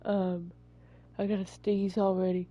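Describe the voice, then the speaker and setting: A woman's voice: medium pitch, flat delivery, measured pace, mellow. A woman with a bad cold, talking in a rambling, apologetic way as she introduces a video game. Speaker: gaming YouTuber. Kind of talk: casual let's-play intro. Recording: dull, lo-fi home recording.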